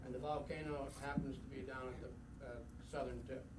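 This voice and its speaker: A man's voice: medium pitch, level tone, brisk. Older man speaking in an echoey room. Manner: rambling, emphatic, frequent uh fillers. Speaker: guest speaker. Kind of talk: war memoir talk at a club meeting. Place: club meeting room, indoors. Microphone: podium mic, camera from across room. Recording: roomy, distant camcorder audio with noise.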